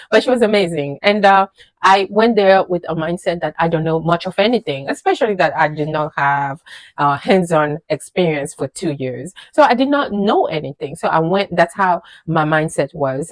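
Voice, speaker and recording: A woman in her thirties whose first language is French, working as a registered nurse and telling her journey in a casual vlog, animated and emphatic, with many fillers and repeated words. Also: fairly low pitch, dry recording. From French-speaking country, immigrated to the USA. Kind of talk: casual personal storytelling to camera.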